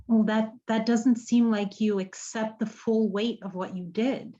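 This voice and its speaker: A woman's voice: on the high side, steady tone, measured pace, dark tone, natural voice. Woman playing an earnest, hesitant prison visitor, dull audio. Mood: probing. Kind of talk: radio drama acting.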